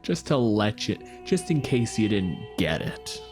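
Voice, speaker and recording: A man's voice: fairly low pitch, very animated, a little slow, darkish tone, soft background music. A man playing a tabletop game, chatty and opinionated, with dry quips. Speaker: player. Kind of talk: casual tabletop play chat.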